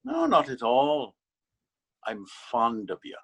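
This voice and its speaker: A man's voice: mid-range voice, huge pitch swings, takes it slowly, breathy edge, lo-fi sound, full character voice. An actor in a readers theater drama playing a stern, weary policeman, admonishing and authoritative. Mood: dry, weary. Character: stern, weary Irish male beat policeman, bullying. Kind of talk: readers theater stage acting.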